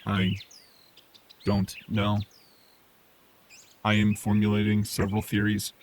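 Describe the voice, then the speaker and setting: Sci-fi drama AI companion, male performer: monotone, low, matter-of-fact, formal; noisy background. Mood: analytical. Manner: measured, emotionless, formal. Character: Pine, matter-of-fact analytical artificial intelligence companion.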